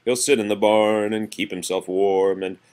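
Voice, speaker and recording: A man reading nursery rhymes aloud to a young child in an animated sing-song, with patient, casual asides as a parent. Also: fairly low pitch, normal speed.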